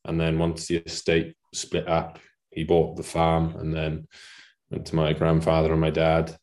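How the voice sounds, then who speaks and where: A man's voice: rumbling low, monotone, unhurried, a little mellow. A Welsh man in his late twenties, a farmer guest chatting casually in an interview, self-deprecating and laughing at his own jokes. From Wales.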